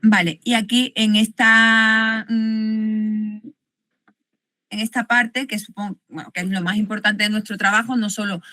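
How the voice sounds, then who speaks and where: A woman researcher co-presents a study over a video call. She talks in a loose, conversational way and fills pauses often. Her voice sits at a middle pitch and sounds dry, with no room echo. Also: flat delivery, sharp tone. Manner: loose and conversational, frequent fillers. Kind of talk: academic conference presentation.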